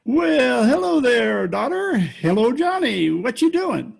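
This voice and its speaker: Croaky, high-pitched old-timer. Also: male voice, big pitch swings, takes it slowly, exaggerated voice. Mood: folksy, joking. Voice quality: creaky, husky old voice. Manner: drawling, folksy, chuckling. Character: folksy teasing old-timer who tells corny jokes. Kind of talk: old-time radio comedy acting. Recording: home recording over video call.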